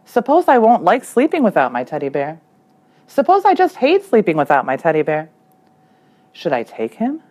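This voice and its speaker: A woman's voice: lowish voice, huge pitch swings, moderate pace, darkish tone. A woman voicing an anxious, earnest young boy in a children's picture book read aloud. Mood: worried. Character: anxious young boy narrator wanting his teddy bear.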